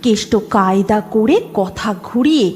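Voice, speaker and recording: A woman's voice: mid-range voice, animated, unhurried, darkish tone. A woman narrating a scripted horror story dramatically, as the narrator of a cartoon. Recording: music laid under the narration.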